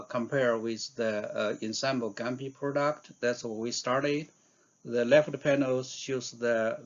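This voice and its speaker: Halting man presenting research, dull audio. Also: medium pitch. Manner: halting, hesitant delivery with frequent fillers. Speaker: researcher giving invited talk. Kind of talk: scientific conference presentation.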